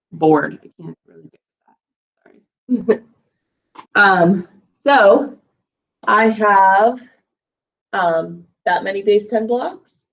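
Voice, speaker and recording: A woman teaching first graders in a patient, unhurried way, counting out blocks at a very slow pace. Her voice is a little scratchy. She stands some distance from the mic, and the recording sounds dull and lo-fi. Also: lowish voice. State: slightly scratchy from allergies. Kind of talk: recorded math lesson for young kids. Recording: lo-fi, voice a bit far from the mic.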